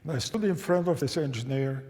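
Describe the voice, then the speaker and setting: A man in his nineties, a native German and Czech speaker, recounting a personal memory to an audience in a thoughtful, measured way, often restarting phrases and asking listeners questions. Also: fairly high pitch, a little mellow. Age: 90s. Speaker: guest speaker. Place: church sanctuary. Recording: reverberant church hall.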